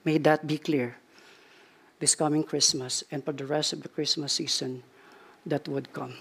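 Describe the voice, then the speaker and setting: A man's voice: a little high, little pitch movement, moderate pace, creaky, fairly bright tone, breathy edge. A man preaching as pastor in a church, earnest and repeating phrases for emphasis as he ends with a prayer. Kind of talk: sermon and closing prayer.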